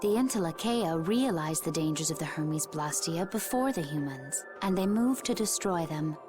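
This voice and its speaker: A composed, knowledgeable video game woman lays out grave history. Her delivery is measured and technical, with music clearly playing behind her. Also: deep voice, animated. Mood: grave, explanatory. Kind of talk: scripted video game acting.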